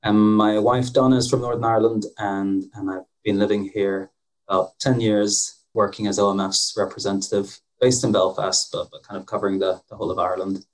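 A man from Bangladesh hosts a relaxed podcast conversation, friendly and self-deprecating. He talks in a lowish voice, often restarting his phrases. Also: a little fast, poor audio. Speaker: podcast host.

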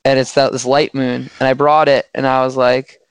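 A man with a slightly hoarse voice, chatting casually on a podcast with many restarts and fillers. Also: medium pitch, flat delivery, normal speed, dry recording.

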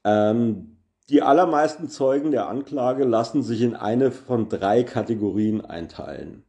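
A man's voice: mid-range voice, unhurried, dark tone. A man hosting a solo podcast, explaining a news topic carefully with many hesitations and filler sounds. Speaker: podcast host.

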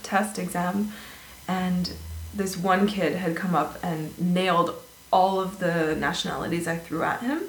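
A woman chatting casually as a guest, telling animated stories about her teaching days and laughing easily. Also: lowish voice, measured pace, hardly any pauses. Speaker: guest, former English teacher. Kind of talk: casual conversational storytelling. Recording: echoey room with faint noise.

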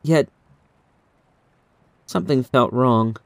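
Guy narrating a horror audiobook, mid pitch, measured and suspenseful, really dry close mic. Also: gravelly, no echo. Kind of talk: scripted horror story narration.

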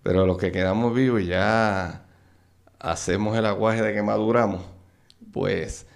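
A middle-aged man with a Puerto Rican accent and a low, gravelly voice. He tells stories about his childhood easily, laughing often as the memories come back, like a guest settled into a long conversation. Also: steady tone, unhurried. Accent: Puerto Rican Spanish. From Puerto Rico. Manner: laughs often while recalling old times. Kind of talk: casual storytelling interview.

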